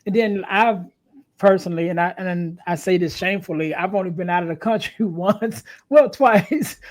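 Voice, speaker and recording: A woman hosting a podcast, interviewing casually in a halting way, often repeating words as she works toward her point. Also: fairly low pitch, darkish tone. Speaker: podcast host.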